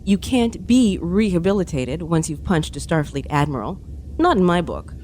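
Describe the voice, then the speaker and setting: Woman playing a stern, mellow-voiced starship officer. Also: lowish voice, animated, normal speed, slight background noise, lightly acted. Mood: stern, dismissive. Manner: clipped, formal, curt. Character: stern, rule-bound female commander, coldly dutiful and distrustful. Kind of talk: science fiction audio drama acting.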